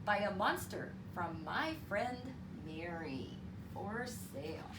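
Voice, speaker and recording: A woman reading a story aloud to children in an expressive, deliberate, teacherly way. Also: fairly low pitch. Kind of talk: expressive read-aloud storytelling with counting lesson. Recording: roomy, distant mic with clear background noise.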